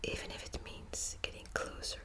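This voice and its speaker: A woman whispering close to the mic in an ASMR book video, hesitant with many ums and restarts, also reading passages aloud. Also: moderate pace. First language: Dutch. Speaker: ASMR creator.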